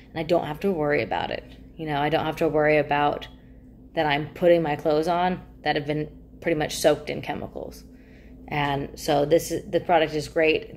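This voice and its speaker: A young woman with a notably low voice talks casually and enthusiastically to the camera. She chats with her hands, recorded from desk distance in a small indoor room, with a faint hiss under the voice. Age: young adult. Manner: chatty, enthusiastic, gestures while talking. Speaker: product reviewer. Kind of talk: casual talking-head product chat.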